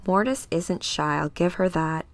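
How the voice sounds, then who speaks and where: A woman voicing a brisk, worried female intelligence chief from a comic book, wry and businesslike. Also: fairly low pitch. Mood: wry, concerned.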